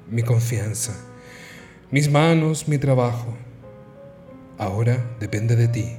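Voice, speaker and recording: A man's voice: medium pitch, a little mellow, lightly acted. A man playing a devoted young working man reading his love letter in a radio drama.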